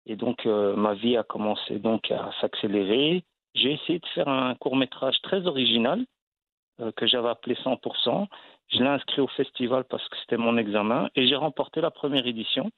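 Gravelly man on a tinny phone line. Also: mid-range voice, moderate pace, no room sound. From Belgium. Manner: hesitant, many fillers and restarts. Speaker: actor, guest. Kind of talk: casual interview.

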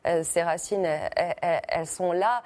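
Woman asking interview questions, warm low tone, kinda hesitant, dry studio. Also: moderate pace, no echo. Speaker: radio interviewer.

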